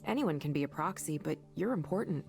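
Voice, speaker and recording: An actress playing an earnest, friendly young coworker in a visual novel game, talking in a casual, emphatic, encouraging way. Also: female voice, deep voice, animated, fairly quick, creaky, a little mellow. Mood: encouraging, admiring. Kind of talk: video game voice acting. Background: faint music.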